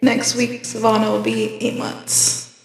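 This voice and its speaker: A woman's voice: a little high, level tone, measured pace, vocal fry. A woman in her twenties from New York, raised in North Carolina, co-hosting a podcast with loose, candid chatter and lots of fillers. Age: 20s. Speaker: podcast co-host. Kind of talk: casual chat. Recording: echoey room with long reverb.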